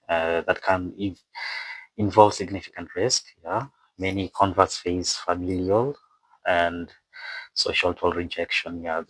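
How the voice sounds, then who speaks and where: Deep-voiced man, halting non-native explainer. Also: fairly bright tone, slightly rough, dry recording, rough recording. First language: not English. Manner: halting, stumbling over words and repeating phrases. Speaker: video presenter and narrator. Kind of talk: explainer narration read from a script with asides.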